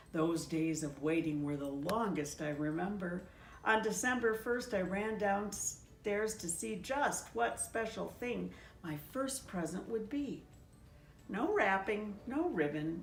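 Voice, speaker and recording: Slow-paced woman reading a story, echoey room. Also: medium pitch. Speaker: pastor reading a children's story aloud. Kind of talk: read-aloud storytelling. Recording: echoey room, distant mic, faint noise.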